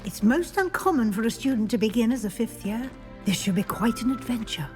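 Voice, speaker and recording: An actress playing a middle-aged British deputy headmistress in a fantasy game, courteous, formal, brisk and kindly. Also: female voice, on the high side, animated, darkish tone, soft background music, lightly acted. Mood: welcoming.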